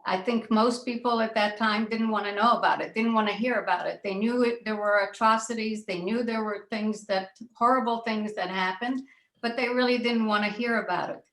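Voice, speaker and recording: An older woman from Israel shares personal memories on a panel. She speaks deliberately, at a medium pitch, repeating phrases for emphasis. The recording has a noticeable room echo. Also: fairly even intonation, fairly bright tone. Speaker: panelist, child of Holocaust survivors. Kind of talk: personal recollection in a panel discussion. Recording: echoey room sound.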